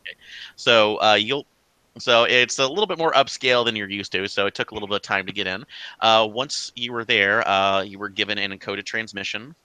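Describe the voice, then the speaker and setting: Bright-voiced man running a game. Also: lowish voice, fairly even intonation, brisk, smooth, dry recording, rough recording. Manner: rambling, filler-heavy, easygoing. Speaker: game master. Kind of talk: running a tabletop game online.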